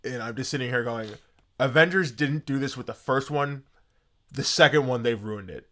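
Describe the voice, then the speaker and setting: Excitable man chatting on a podcast. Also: mid-range voice, moderate pace. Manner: excitable, jokey fan talk. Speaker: podcast co-host. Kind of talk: casual chat.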